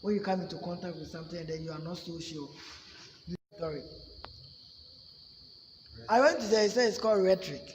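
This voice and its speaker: A man's voice: high voice, brisk, pauses often, slight background noise. A man with a Ghanaian accent teaching a public speaking class in an emphatic way, often asking "you see?". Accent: Ghanaian English. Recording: echoey room with long reverb.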